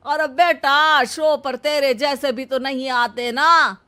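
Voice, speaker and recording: A woman's voice: high-pitched, fairly even intonation, sharp tone, exaggerated voice. A woman voicing a mocking older relative who scolds sarcastically, drawing words out in a story. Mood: scornful. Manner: drawn-out, taunting delivery.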